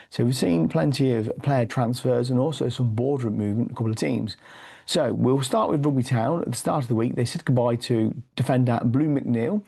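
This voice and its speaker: A man's voice: medium pitch, dark tone. A man who has lost his voice to a cold, reviewing football league news with many fillers and restarts. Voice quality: hoarse, gravelly. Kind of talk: weekly football review, read from notes.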